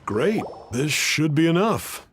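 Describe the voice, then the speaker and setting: A man's voice: on the high side, very animated, takes it slowly, slight background noise. A man playing a friendly, obliging young cook with a nostalgic streak in an anime video game, speaking in an easygoing, helpful way. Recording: faint game sound behind the voice.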